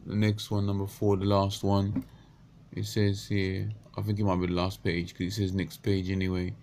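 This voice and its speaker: A man in his thirties with a croaky morning voice, talking unscripted into a webcam in a small bedroom, halting with many restarts and stumbles. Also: sits low, completely flat delivery, a little mellow, a little hiss or hum. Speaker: vlogger. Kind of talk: unscripted casual monologue. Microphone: webcam at close range.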